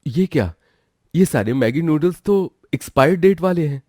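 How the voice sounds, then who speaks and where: A man's voice: on the high side, lively intonation, normal speed, dark tone, no echo, studio quality. A man voicing an earnest village dhaba owner. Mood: concerned. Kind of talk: cartoon voice acting.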